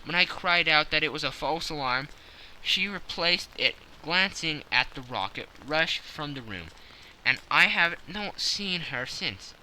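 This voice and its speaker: Confident gentleman sleuth, played by a male reader, precise and self-satisfied, keen forward tone with lively inflection. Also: a little high, a little slow, a little hiss or hum, exaggerated voice, rough recording. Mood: self-satisfied, explaining. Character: confident, analytical gentleman detective explaining his schemes. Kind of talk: audiobook character acting.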